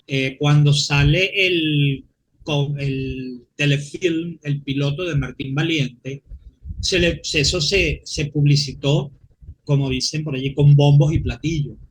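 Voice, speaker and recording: A man's voice: a little high, steady tone, slow pace, slight background noise, low-quality recording. A Venezuelan-accented man talking informally as an interview guest, detailed and often searching for the right words. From Maracay, Venezuela. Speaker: guest comics historian.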